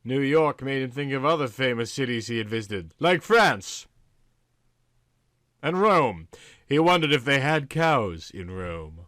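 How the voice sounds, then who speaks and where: A man's voice: mid-range voice, animated, a little slow, fairly bright tone, dry recording, studio quality. A man narrating a story in a theatrical, comic reading style, with dramatic, emphatic phrasing.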